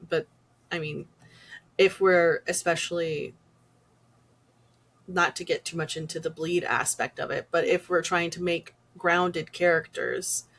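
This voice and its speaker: Relaxed, chatty woman interviewer. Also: sits low, gravelly. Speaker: podcast host, interviewer. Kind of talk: casual interview.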